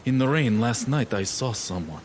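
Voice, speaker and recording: An actor playing a haunted, superstitious ship's mate in a gothic audio drama, intense and halting. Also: male voice, medium pitch, expressive, a little mellow, slight background noise, close to an everyday voice. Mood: uneasy, insistent.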